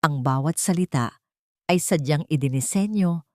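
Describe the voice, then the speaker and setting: A woman's voice: sits low, normal speed, no room sound, clean studio sound. A woman reading dramatic scripted narration for an audio drama story.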